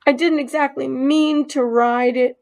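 A woman's voice: high voice, steady tone, unhurried, a little mellow, voice pushed up. A woman reading aloud and voicing a small, anxious young male mouse in a storybook, earnest and apologetic. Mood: ashamed.

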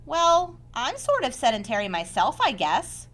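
A woman's voice: high-pitched, wildly swooping pitch, a little slow, fairly bright tone, voice pushed up, full character voice. A woman voicing an innocent young male pig in a storybook, earnest, childlike and eager, with an anxious, affectionate temper. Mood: wistful.

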